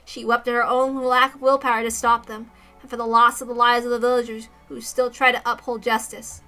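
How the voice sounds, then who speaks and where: Woman, story narrator, high voice, bright tone, reading aloud, music behind. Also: flat delivery, fairly quick. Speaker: reader of a visual novel story. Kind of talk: expressive story read-aloud, first-person narration.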